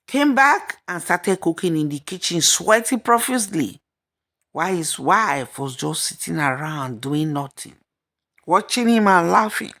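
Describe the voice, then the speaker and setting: A woman's voice: lowish voice, expressive, unhurried, fairly bright tone. A woman storyteller giving a scripted narration of a folktale.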